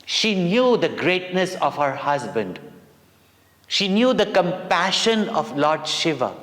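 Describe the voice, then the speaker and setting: Slow-speaking Indian man in a reverberant hall. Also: a little high, a bit bright. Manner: deliberate, dramatic, with short pauses between phrases. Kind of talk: devotional storytelling for children. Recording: long reverberant hall echo.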